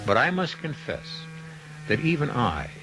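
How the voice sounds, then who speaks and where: A man's voice: on the high side, very animated, a little slow, noisy background. A man hosting and narrating a suspense radio drama in a wry, macabre, theatrical manner, fond of puns. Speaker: host and narrator of a mystery radio show.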